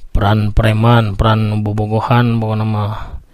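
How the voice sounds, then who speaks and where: A man's voice: lowish voice, monotone, a little slow, a little mellow, no echo, clean studio sound. A man with a Sundanese accent, working as a storyteller and host, speaking in a folksy, chatty way. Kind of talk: Sundanese storytelling and chatty reading of listener comments. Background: background music.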